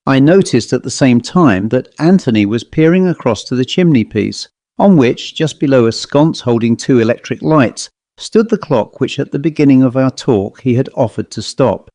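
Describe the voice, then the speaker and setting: A man narrates a classic ghost story with a measured, expressive delivery. His voice is mellow and relaxed, with an ordinary male pitch. The sound is dry, with no audible room around it. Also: dry recording. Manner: measured, literary, expressive reading. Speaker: audiobook narrator.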